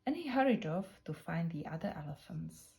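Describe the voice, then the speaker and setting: A woman narrating a children's picture book in a deliberate, expressive storytelling manner. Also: lowish voice, vocal fry, slight background noise. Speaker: storybook reader.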